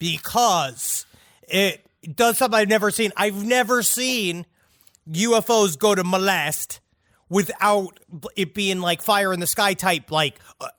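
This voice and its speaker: A man with a high voice recaps a film in blunt, crude, casual chat. He is recorded close and dry, with no room sound. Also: a little slow, fairly bright tone, dry recording. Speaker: podcast co-host.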